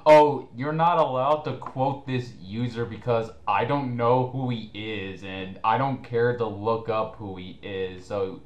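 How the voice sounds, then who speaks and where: Slow, low-voiced man in an echoey room. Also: highs cut off. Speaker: co-commentator. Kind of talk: casual mocking commentary chat. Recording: echoey room, faint background noise.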